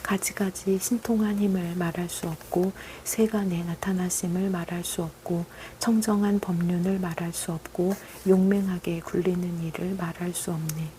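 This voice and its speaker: A woman reciting Buddhist scripture solemnly, in a measured, repetitive cadence. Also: fairly low pitch, steady tone, mellow, slight background noise.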